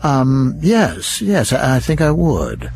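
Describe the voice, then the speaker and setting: An actor playing an affable, slightly awkward company psychologist in a radio drama, with a hesitant, placating manner. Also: male voice, medium pitch, huge pitch swings, slow, creaky, darkish tone, soft background music, full character voice. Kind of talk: naturalistic radio drama acting.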